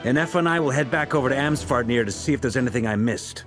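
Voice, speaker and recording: An actor playing a seasoned, easygoing leader of fighters in a video game, casual and good-natured. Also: male voice, mid-range voice, fast talker, over music, close to an everyday voice. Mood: reassuring. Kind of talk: video game English dub acting.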